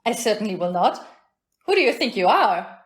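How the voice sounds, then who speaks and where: A woman's voice: mid-range voice, very animated, normal speed, roomy sound, lightly acted. A woman playing a feisty, unflappable single hotel resident in an audio play, speaking in a brusque, plain-spoken, indignant way. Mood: indignant, defiant.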